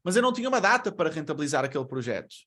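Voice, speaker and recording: Man, European Portuguese accent, fairly high voice, webinar speaker, chatty and full of rhetorical questions, on a video call mic. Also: big pitch swings, rapid-fire, pushed and bright. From Brazil. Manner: conversational, asks rhetorical questions. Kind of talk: presentation to an online audience.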